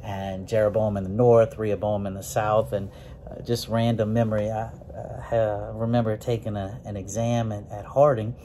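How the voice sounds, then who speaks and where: A man of about forty, a preacher, giving a casual Bible lesson to camera from a small room, with many fillers and rising pitch when he stresses a point. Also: little pitch movement, moderate pace, mellow, slight background noise. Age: 40s. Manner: folksy, many fillers, rises in pitch on emphasis. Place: small room with green walls. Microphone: phone or webcam at close range, looking up.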